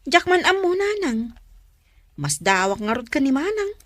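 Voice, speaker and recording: A woman's voice: sits high, very animated, normal speed, fairly bright tone, dry recording. A woman playing a young, concerned and outspoken younger sister in a radio drama. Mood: exasperated.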